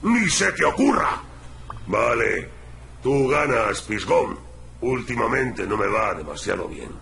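An actor playing a big, tough, defensive gorilla bodyguard in a video game. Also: male voice, medium pitch, big pitch swings, slow, gravelly, sharp tone, reverberant, a little hiss or hum, exaggerated voice. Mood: indignant, then resigned.

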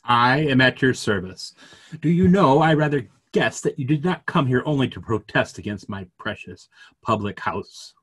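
A man in his fifties playing a polite, inquisitive gentleman in a stage play reading, measured and questioning. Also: mid-range voice, lively intonation, husky. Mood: polite curiosity. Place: dim home room on a video call.

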